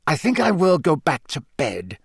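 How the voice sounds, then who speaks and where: A man's voice: fairly high pitch, wildly swooping pitch, moderate pace, creaky, slightly rough, no room sound, clean studio sound, voice pushed up, heavily acted. Man voicing a cold, seething storybook professor. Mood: forced calm. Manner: clenched, hissing, curt delivery. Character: cold, menacing, suspicious male professor, quietly seething. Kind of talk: audiobook character voice.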